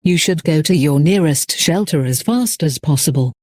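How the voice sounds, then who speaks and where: A man delivers a scripted emergency announcement as a broadcast announcer. His voice sits a little higher than most men's. He speaks formally and with measured phrasing, in a very dry sound with no room around it. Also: expressive, a little mellow, dry recording.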